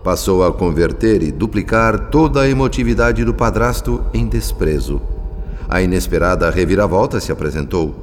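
A man's voice: deep voice, animated, moderate pace, big-room sound, slight background noise. A man narrating a radio soap opera from a script in a solemn, theatrical, literary manner.

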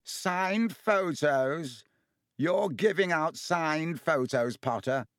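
A man's voice: medium pitch, unhurried, dry recording, studio quality, close to an everyday voice. A man voicing an arrogant teenage bully who drawls and sneers scathingly. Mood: sneering. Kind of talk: audiobook character voice.

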